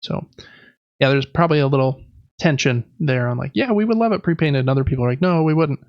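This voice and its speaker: Gravelly-edged man chatting on a podcast. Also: mid-range voice, expressive, fast, no echo, highs cut off. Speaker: podcast host. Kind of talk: casual podcast talk about board games.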